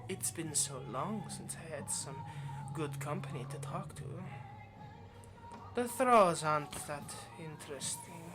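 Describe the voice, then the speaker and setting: A performer plays a confident vampire in an audio roleplay who toys with prey. The delivery is teasing and condescending, with a possessive, predatory edge. Music plays clearly behind the voice. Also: mid-range voice, unhurried, lightly acted. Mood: menacing, possessive. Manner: teasing, condescending, drops to whispers. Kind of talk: ASMR roleplay monologue.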